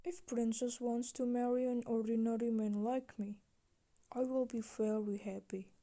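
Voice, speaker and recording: A woman's voice: fairly high pitch, little pitch movement, normal speed, mellow, close to an everyday voice. A woman voicing a humble, polite young castaway man in a children's puppet-show story. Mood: humble, sincere.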